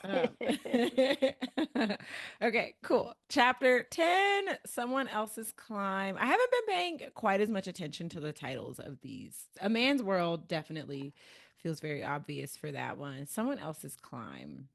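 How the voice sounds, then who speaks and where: A woman's voice: mid-range voice, very animated, measured pace, vocal fry. A woman with an American Midwest accent, co-hosting a podcast and casually chatting about a book, laughing often and using lots of fillers. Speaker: podcast co-host.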